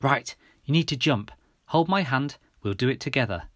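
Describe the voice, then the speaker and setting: A man's voice: mid-range voice, expressive, moderate pace, no room sound, studio quality, close to an everyday voice. A man voicing a brave, urgent teenage boy in an audiobook. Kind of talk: audiobook character voice.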